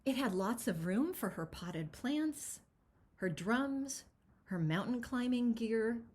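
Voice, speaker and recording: A woman's voice: on the high side, animated, takes it slowly, a little mellow, slightly rough. A woman who writes picture books, speaking expressively to children as she introduces and reads a storybook, with emphasis on key words. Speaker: children's picture book author. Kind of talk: chatty introduction and animated storybook read-aloud.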